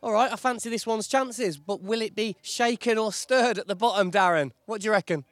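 A man's voice: sits high, a little fast, a bit bright. A man co-presenting a race, playful and enthusiastic. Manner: jokey, enthusiastic.

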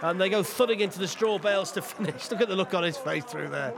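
High-voiced man commentating a race. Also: vocal fry, heavy background noise. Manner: excitable, quick reactions, jokey. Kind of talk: live sports commentary. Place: outdoor race track.

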